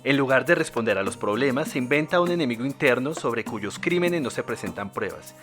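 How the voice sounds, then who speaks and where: A man's voice: mid-range voice, lively intonation, brisk, a bit bright. A man delivers scripted documentary narration in a mid-range voice. Music plays behind him as he reads.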